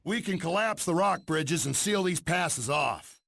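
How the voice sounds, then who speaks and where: A wry game miner with a gravelly, creaky voice. It sits a little high for a man, with faint noise behind. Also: lively intonation, measured pace, fairly bright tone, exaggerated voice. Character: wry miner volunteering for dangerous work. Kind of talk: video game cutscene acting.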